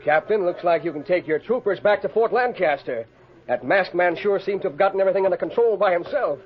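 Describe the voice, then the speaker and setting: An actor playing an excitable, folksy Old West rancher with exclamatory cowboy talk in a 1950s radio western. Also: male voice, high-pitched, fast talker. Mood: impressed. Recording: muffled, faintly noisy old radio recording.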